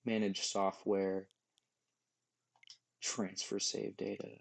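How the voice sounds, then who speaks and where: A man with a hoarse, worn-out voice, rambling goofily through a casual live stream while he plays a game. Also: fairly low pitch, little pitch movement, slow. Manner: rambling, goofy, jokey asides. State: hoarse, losing his voice.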